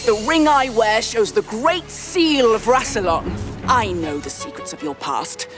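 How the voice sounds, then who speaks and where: An actress playing a regal, scheming alien villain in an audio drama, stately and portentous. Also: female voice, fairly high pitch, expressive, unhurried. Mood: grandly menacing. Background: dramatic music.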